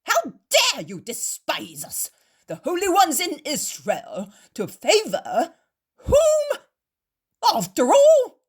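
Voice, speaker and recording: A woman voicing proud, vainglorious male Pharisees who berate and then flatter. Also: very high-pitched, slow, voice pushed up, exaggerated voice. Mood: furious. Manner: indignant shouting, then wheedling.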